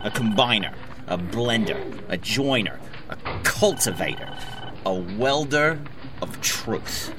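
A man's voice: lowish voice, lively intonation, takes it slowly, background noise. A man playing a pushy, defensive showbiz agent in a comedic audio drama, piling up emphatic repeated phrases. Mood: emphatic, protective.

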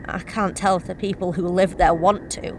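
A woman's voice: lowish voice, steady tone, moderate pace, background noise. A young woman reading a first-person horror story in a wry, confessional, conversational way. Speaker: narrator.

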